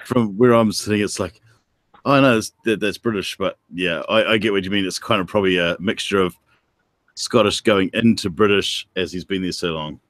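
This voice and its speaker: A man's voice: low-pitched, moderate pace, fairly bright tone, no echo. A man chatting casually on an online panel, informal and repeating himself for emphasis. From New Zealand. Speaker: participant in an online panel chat. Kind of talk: casual chat.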